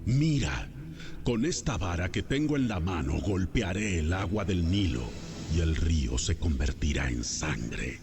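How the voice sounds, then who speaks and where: Audio Bible role: God, very low and unhurried, solemn authority over clear background music. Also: male voice, big pitch swings, full character voice. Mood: solemn command. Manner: slow, solemn, commanding. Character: God, solemn commanding male deity. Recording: music behind the voice.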